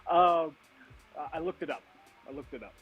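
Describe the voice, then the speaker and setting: A man whose first language is Tagalog, a guest magician, telling a story on a video call in a sheepish, halting way with many fillers. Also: fairly high pitch, slightly rough, dull audio, faint music behind.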